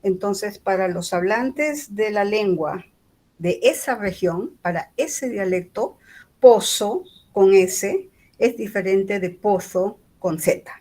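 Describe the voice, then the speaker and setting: A lecturer from Peru speaks with a Lima accent. She explains each point patiently, often pausing on fillers and tag questions to check that her listeners follow. Her voice sits at an ordinary middle pitch for a woman. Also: dark tone. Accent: Lima Spanish. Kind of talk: university lecture.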